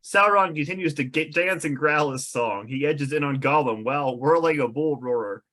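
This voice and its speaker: A man's voice: fairly high pitch, moderate pace, fairly bright tone. A man reading stage directions as narrator at a table read, descriptive and drily amused.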